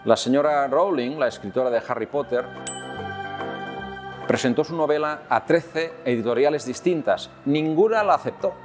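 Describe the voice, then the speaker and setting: A man in his fifties with a Catalan accent speaks in a calm, measured way, at an average pitch and with frequent pauses on "eh". He talks straight to the camera in a room with tall windows. Faint music plays behind him. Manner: calm, measured, with many "eh" fillers. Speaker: children's author answering questions. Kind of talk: recorded talk to camera for children.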